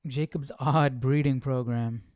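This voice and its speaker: A man hosting a casual live Bible study, reading aloud and thinking out loud in an informal, slangy way. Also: mid-range voice, steady tone, measured pace, no room sound.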